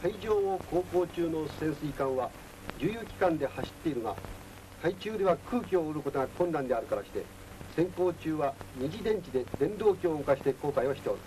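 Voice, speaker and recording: A man's voice: fairly high pitch, expressive, brisk. A man giving a formal instructional lecture as a naval instructor, firm and didactic. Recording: noisy 1940s film soundtrack.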